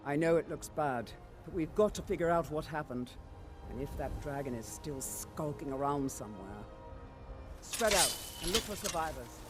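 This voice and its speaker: A woman playing a stern, commanding warrior officer in a fantasy video game, brisk and authoritative. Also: sits low, expressive, over music. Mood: grim, resolute.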